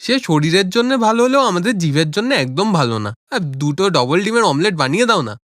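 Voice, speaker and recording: A cheerful village family man played by a male actor in a cartoon. His voice sits on the high side and is recorded dry, with a coaxing, appreciative manner. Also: very animated, quick pace, a bit bright, dry recording. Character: cheerful food-loving village menfolk, sons and father, eager, coaxing.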